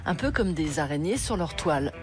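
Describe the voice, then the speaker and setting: A woman's voice: low voice, expressive, fairly quick. A woman reading scripted documentary narration for a culture magazine, with a wry, playful touch. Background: music under the voice.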